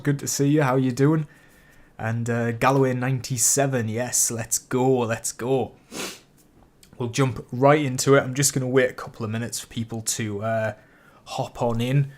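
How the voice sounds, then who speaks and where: A man hosting a casual live stream, chatty and rambling while getting over a cold with a cough and sniffle. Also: medium pitch. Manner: chatty and rambling, with many fillers and restarts. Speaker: YouTube host streaming a live reaction.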